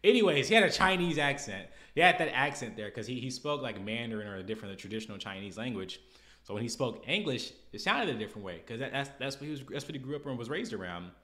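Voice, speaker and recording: A man's voice: mid-range voice, animated, quick pace, bright, forceful tone. An American man hosting a podcast, giving casual opinion commentary in a blunt, exasperated, slangy way. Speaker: podcast host.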